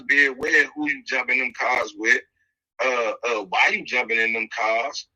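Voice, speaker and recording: American man, bright forceful storytelling voice. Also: a little high, poor audio. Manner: emphatic, streetwise, acts out dialogue. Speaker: podcast host telling a personal story. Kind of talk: casual street storytelling with slang and advice.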